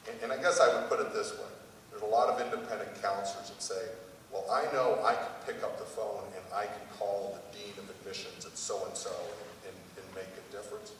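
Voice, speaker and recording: American man, lowish pitch, informal panel talk, heavy echo, faint noise. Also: steady tone, fairly quick, a bit bright. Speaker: college admissions panelist. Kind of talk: informal panel answer, conversational. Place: large hall at a public panel event. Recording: echoey hall, distant mic, faint noise.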